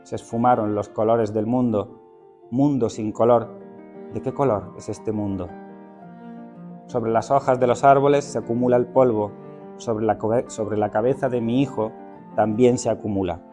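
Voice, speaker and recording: A man with a mellow, warm voice reads poetry aloud at an even, unhurried pace, pausing thoughtfully between phrases. Faint music sits softly behind him. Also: lowish voice. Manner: unhurried, thoughtful, with hesitant fillers. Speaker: teacher reading poetry at a literary event. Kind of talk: poetry reading with spoken introduction.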